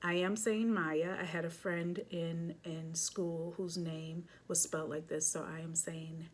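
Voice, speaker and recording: Lady with a lowish voice doing a kids read-aloud, chatty and expressive. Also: unhurried, slight background noise. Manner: expressive, chatty asides while reading. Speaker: host of a children's read-aloud. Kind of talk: casual chat and picture-book read-aloud.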